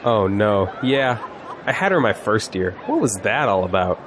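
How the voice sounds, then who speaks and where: An actor playing a confident, sarcastic young college graduate in an audio drama, with wry, joking delivery. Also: male voice, fairly low pitch, sing-song, normal speed. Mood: upbeat, sardonic. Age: young adult. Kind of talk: scripted audio drama dialogue. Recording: faint background noise, outdoor crowd ambience.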